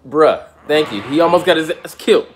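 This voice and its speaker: A man's voice: a little high, fairly quick. A young man chatting casually and with lots of slang as a reaction video host, recorded in a small room. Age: young adult. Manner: animated, slangy. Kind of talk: casual vlog reaction chat.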